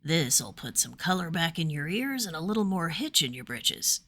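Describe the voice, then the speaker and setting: A woman puts on an old household steward in a fantasy tale. He sounds low and gruff, fussing and folksy, with kindness underneath. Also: very animated, normal speed, fairly bright tone, exaggerated voice. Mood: gruffly kind.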